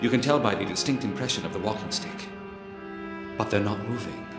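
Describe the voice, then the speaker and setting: An actor playing a keen, coolly analytical Victorian detective, speaking in precise, theatrical deductions in film dialogue. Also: male voice, fairly low pitch, brisk, lightly acted. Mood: absorbed, analytical. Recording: reverberant hall sound with music underneath.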